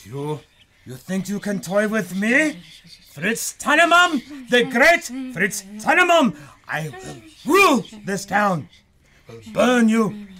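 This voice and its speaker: An actor playing a pompous, megalomaniac German film director, ranting and menacing in a radio play with a German accent. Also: male voice, high voice, big pitch swings, slow, bright, forceful tone, heavily acted. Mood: menacing fury. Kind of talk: theatrical radio play acting.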